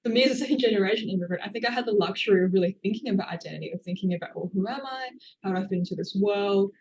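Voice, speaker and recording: A woman's voice: medium pitch, very fast, darkish tone, rough recording. A woman, an author, answering interview questions in a thoughtful, hedging way with many fillers. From Australia. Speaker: author guest.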